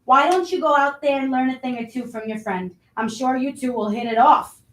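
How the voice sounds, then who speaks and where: Woman as scolding, impatient boss, very high voice, staged reading with roomy echo. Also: a little fast, a bit bright. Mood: scornful. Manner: scolding, domineering. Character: harsh, demanding, impatient restaurant boss. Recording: roomy, distant microphone.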